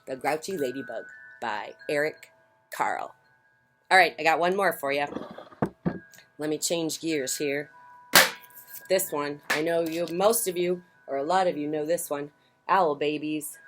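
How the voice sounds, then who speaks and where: A woman hosting a children's story time, reading picture books aloud in a theatrical, emphatic way with swells and hushes. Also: low-pitched, unhurried, long pauses, faint music behind. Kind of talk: expressive picture-book read-aloud. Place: outdoors on a porch.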